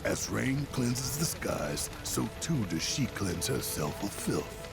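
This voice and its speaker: A man's voice: medium pitch, sing-song, takes it slowly, vocal fry, exaggerated voice. A man voicing a theatrical, musing character in a video game cutscene, with music and rain behind.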